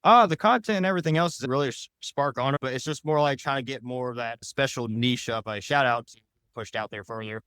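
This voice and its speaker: Male podcast guest, very quick and rambling, crisp smooth tone, casual answers. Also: medium pitch, a bit bright, no room sound. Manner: rambling, loosely phrased explanations. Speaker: podcast guest, audio drama creator.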